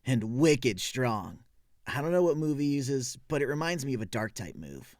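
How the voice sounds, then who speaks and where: A man's voice: mid-range voice, sing-song, normal speed, a little mellow, no echo, studio quality, lightly acted. A man playing an eager, cheeky young Pokemon explorer in a comic dub, casual and gung-ho. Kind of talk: animated comic dub acting.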